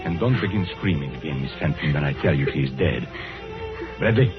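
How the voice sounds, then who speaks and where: An actor playing a commanding, cool-headed gentleman sleuth in an old radio mystery, measured and grimly matter-of-fact. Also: rumbling low, lively intonation. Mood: commanding, calm. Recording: muffled vintage radio recording, faint music.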